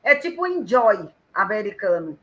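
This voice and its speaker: A woman guest on a live chat, talking lively and emphatically as she gives advice. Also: mid-range voice, normal speed, lo-fi sound, a little hiss or hum. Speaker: guest storyteller and author.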